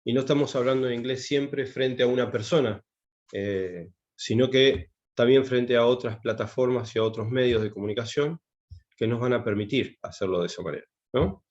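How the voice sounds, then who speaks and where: A man's voice: mid-range voice, moderate pace, darkish tone, muffled recording. A man, a taekwondo instructor giving an online class, hesitant and full of fillers and restarts. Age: 50s. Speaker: taekwondo instructor teaching English.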